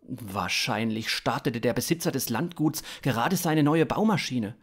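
Slow-paced man narrating fiction. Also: medium pitch, lively intonation, breathy edge. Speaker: author-narrator. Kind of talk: scripted story narration, audio fiction podcast.

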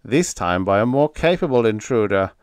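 Male narrator as mocking small secret agent for a space-adventure audiobook: pompous, pettish, very animated delivery. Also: fairly low pitch, normal speed, clean tone, dry recording. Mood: smug, commanding. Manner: pompous, mock-polite, pettish.

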